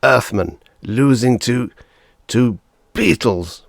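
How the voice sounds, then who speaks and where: A man's voice: medium pitch, slow, vocal fry, dry recording, clean studio sound, pitched above the performer's own voice. Man voicing an angry, bitter space-colony father. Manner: savage, swearing, forceful outbursts. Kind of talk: audiobook character voice.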